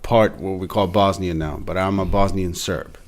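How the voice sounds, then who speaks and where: Low voiced guy from ex-yugoslavia, chatting casually w friends, slangy, tells his own story. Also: steady tone, normal speed. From former Yugoslavia, grew up mostly in Serbia. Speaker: podcast cast member. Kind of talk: casual group discussion, personal storytelling.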